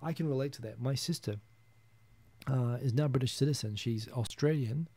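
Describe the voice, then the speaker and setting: A man's voice: mid-range voice, a little fast, dark tone, slightly rough, no echo. A man in his thirties with a New Zealand accent, hosting a casual solo live stream, rambling and chuckling as he reads viewer comments. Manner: rambling, chuckling, frequent restarts.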